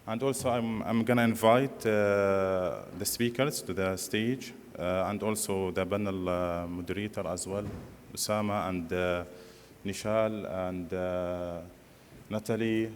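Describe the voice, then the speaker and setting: Male session chair, deeper voice, halting delivery, big hall, faint noise. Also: steady tone. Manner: hesitant, many fillers. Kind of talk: conference announcements. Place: large conference hall.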